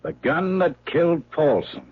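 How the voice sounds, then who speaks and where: An actor playing a hard police lieutenant in a radio drama, husky, blunt and accusing, on a narrow old recording. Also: male voice, fairly high pitch, very animated, measured pace, full character voice. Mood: accusing, firm. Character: hard, insistent male police lieutenant interrogating. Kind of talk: 1970s radio drama acting.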